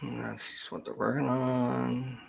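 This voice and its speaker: Male genealogy host from Canada, gravelly and slow-paced, casual, muttering through an on-screen search. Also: medium pitch, flat delivery. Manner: halting, thinking aloud, muttering to himself. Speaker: genealogist host of a live question session.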